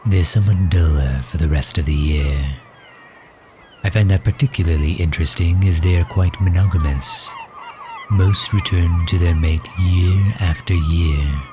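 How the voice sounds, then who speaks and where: A man playing a suave, protective villain in an ASMR audio roleplay, speaking in a gentle, courtly, formal and measured manner. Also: low voice, background noise. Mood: tender, musing. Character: suave, protective male villain doting on his captive guest.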